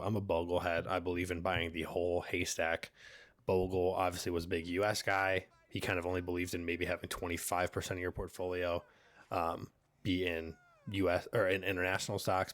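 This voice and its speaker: A man with a cold and a nasal voice, hosting a finance channel in a relaxed, chatty way with many fillers. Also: low voice, no echo. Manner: relaxed, filler-heavy, conversational. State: congested with a cold. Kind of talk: casual talking-head explainer.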